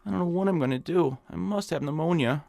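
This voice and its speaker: A fretful, creaky-voiced whiny nebbish. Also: male voice, on the high side, quick pace, a little mellow. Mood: self-pitying, whiny. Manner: fretful, flustered, stammering. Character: nervous, whiny nebbish hypochondriac man, eager and hapless. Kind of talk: audio drama acting in old-time radio suspense style.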